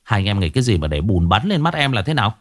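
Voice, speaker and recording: A man's voice: on the low side, very animated, quick pace, a bit bright. A man doing a stern, scolding father. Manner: scolding, gruff. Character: stern, short-tempered rural father.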